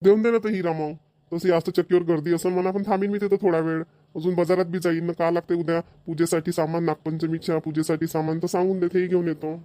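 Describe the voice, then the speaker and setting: A man's voice: a little high, little pitch movement, fast, dark tone, no echo, close to an everyday voice. A man voicing a middle-aged village father in a cartoon, grumbling and repeating himself in rural dialect. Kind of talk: cartoon voice acting.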